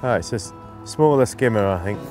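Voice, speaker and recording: A middle-aged man giving relaxed fishing instruction outdoors, in unhurried, halting phrases as he works. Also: fairly low pitch, over music. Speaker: angling instructor, presenter. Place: outdoors on an open lakeside bank.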